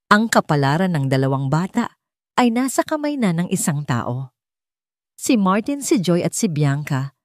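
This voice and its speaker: A woman's voice: fairly low pitch, a little mellow, dry recording, clean studio sound. A woman narrator reading a scripted dramatic audiobook story.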